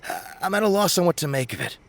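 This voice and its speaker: A man's voice: a little high, sing-song, fast, gravelly, a little hiss or hum. A man playing an earnest, devout and uncertain shrine visitor in a video game, musing politely and hesitantly. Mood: unsure.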